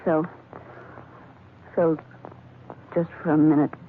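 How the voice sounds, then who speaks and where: A woman's voice: deep voice, little pitch movement, slow. A woman playing a desperate, seductive femme fatale, pleading and manipulative, in 1940s radio melodrama style. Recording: tinny, noisy vintage radio recording.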